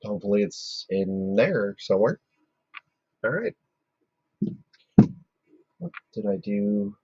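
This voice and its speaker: A man's voice: lowish voice, animated, takes it slowly, dark tone, husky, dry recording, dull audio. A man hosting a casual live stream, losing his voice and muttering to himself as he works. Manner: chatty, mutters to himself while working. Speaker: livestream host. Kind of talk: casual live-stream chat while working.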